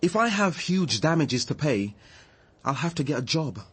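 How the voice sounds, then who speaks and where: An actor playing an earnest, gentle, hard-up idealist activist in a radio drama, mild and a little preachy. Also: male voice, fairly high pitch, very animated, normal speed, husky, heavily acted. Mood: anxious.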